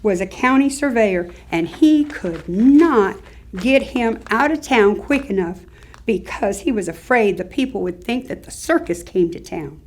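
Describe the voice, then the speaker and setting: An actress playing an elderly small-town Southern woman, giving wry, measured recollections in a staged reading. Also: medium pitch, expressive, a little mellow. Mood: wry reminiscing. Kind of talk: staged reading of oral history monologue.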